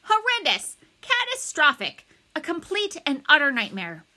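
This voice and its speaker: Woman playing melodramatic, exasperated male principal, animated. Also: mid-range voice, measured pace, heavily acted. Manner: emphatic, overblown complaining.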